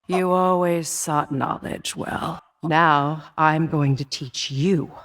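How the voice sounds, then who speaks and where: An actress playing a proud, wounded, vengeful Norse goddess queen in a video game, speaking with imperious, deliberate intensity. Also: low voice, steady tone. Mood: vengeful fury. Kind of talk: dramatic video game acting.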